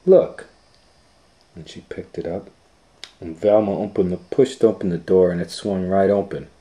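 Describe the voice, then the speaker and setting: A man reading a children's storybook aloud as a storytime host, with dramatic, drawn-out, expressive phrasing. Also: low voice. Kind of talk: animated storybook narration.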